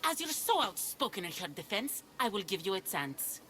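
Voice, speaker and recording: A woman's voice: mid-range voice, big pitch swings, moderate pace, fairly bright tone, slight background noise. A woman playing a haughty, severe ancient Greek priestess in a video game, speaking formally. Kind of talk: video game character acting.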